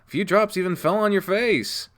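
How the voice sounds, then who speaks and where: A man voicing a troubled, guarded teenage boy athlete in a low, subdued way. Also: moderate pace, a bit bright.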